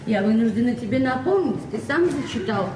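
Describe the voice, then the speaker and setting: An actress in her forties playing a naive, candid, gently insistent librarian in a Soviet radio drama, plain-spoken and sometimes hesitant. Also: fairly high pitch, expressive, brisk, natural voice. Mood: gently chiding. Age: 40s. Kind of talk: Soviet stage-style radio drama acting. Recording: echoey, distant, noisy 1970s radio recording.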